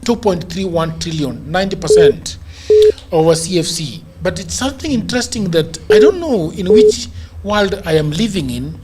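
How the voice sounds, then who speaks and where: Higher-voiced Kenyan male host, noisy recording. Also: very animated, normal speed. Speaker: host of a political talk show with call-ins. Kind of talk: lively panel discussion, mixing English and Swahili.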